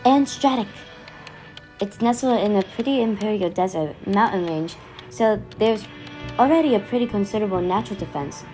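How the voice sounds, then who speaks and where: A woman's voice: mid-range voice, lively intonation, moderate pace, dark tone, soft background music, close to an everyday voice. A woman playing a no-nonsense, impatient time-travelling commander in an audio drama, curt and businesslike as she explains. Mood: businesslike briefing.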